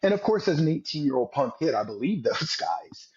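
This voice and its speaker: Husky mid-pitch man, 40s, earnest storytelling guest, dull lo-fi sound. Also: very animated, brisk, darkish tone. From United States. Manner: earnest, repeats words while telling a story. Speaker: podcast guest, former Navy SEAL. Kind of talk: storytelling interview.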